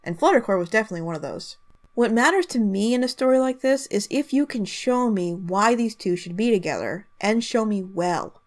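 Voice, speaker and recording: Casting: female online reviewer, mid-range voice, narrating commentary. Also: mid-range voice.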